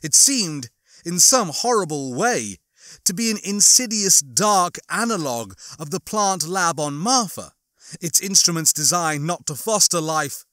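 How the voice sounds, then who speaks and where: Bright-voiced male audiobook narrator. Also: a little high, expressive, unhurried, no room sound, clean studio sound. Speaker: audiobook narrator and channel host. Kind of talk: scripted dramatic narration with casual asides.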